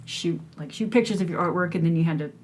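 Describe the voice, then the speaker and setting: A woman's voice: lowish voice, quick pace, a little hiss or hum. A young woman hosting an interview, chatty and affirming, with frequent back-channel responses. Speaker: interview host. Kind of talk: casual interview conversation.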